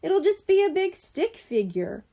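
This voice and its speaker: A woman's voice: very high-pitched, very animated, a little slow, vocal fry, warm tone, voice pushed up, heavily acted. A woman voicing a doubtful, candid young boy in a children's audiobook. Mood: skeptical. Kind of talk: children's audiobook character dialogue.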